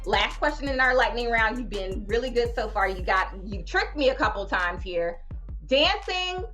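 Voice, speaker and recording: A woman hosting an interview show, playful and fond of wordplay, asking lively questions. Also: a little high, moderate pace, soft background music. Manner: bubbly, wordplay-loving, animated. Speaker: host.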